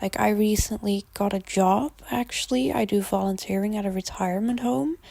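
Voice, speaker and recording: A woman's voice: medium pitch, normal speed, creaky, dark tone, no room sound. A teenage girl with a Dutch accent, whose first language is Dutch, rambling casually with frequent ums in a voice-over recorded on a handheld phone. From Netherlands. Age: teens. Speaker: gaming channel creator. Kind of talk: casual voice-over chat over a speed build. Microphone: phone held in hand.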